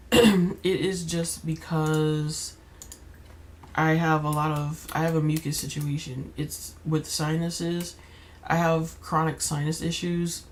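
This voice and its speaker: A young woman with a slightly raspy voice, chatting casually while playing a life-sim game, rambling with many fillers and restarts. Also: low voice, darkish tone, a little hiss or hum. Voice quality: slightly raspy, keeps clearing throat. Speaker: gaming YouTuber. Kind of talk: casual gameplay commentary.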